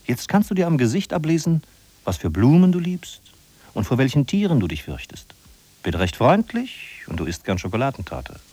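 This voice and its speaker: An actor playing a sardonic narrator in a 1950s German radio play, ironic, declamatory and emphatic. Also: male voice, mid-range voice, sing-song, moderate pace, warm tone, no room sound. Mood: mocking, insistent. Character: sardonic male narrator of a bleak big-city inventory. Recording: husky-sounding 1950s radio recording from cassette.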